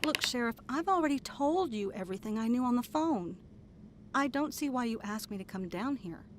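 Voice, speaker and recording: Animated, higher-voiced protective mother played by a woman. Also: normal speed, background noise, lightly acted. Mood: indignant. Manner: earnest, emotional, dramatic. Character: protective, defiant mother working as a hospital nurse. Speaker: actor in an audio drama. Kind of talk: horror audio drama acting.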